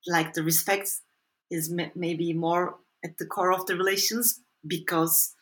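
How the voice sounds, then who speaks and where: A woman's voice: lowish voice, level tone, a little slow, fairly bright tone. A woman with a Turkish accent, an author and anthropologist answering interview questions in a thoughtful, hedging way. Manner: thoughtful, hedging, frequent small laughs.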